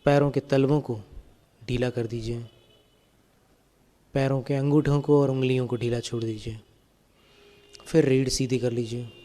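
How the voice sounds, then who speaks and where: A man guiding a meditation as a spiritual teacher, giving calm, gentle, step-by-step instructions. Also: medium pitch, a little slow, pauses often. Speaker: Jain monk and meditation teacher.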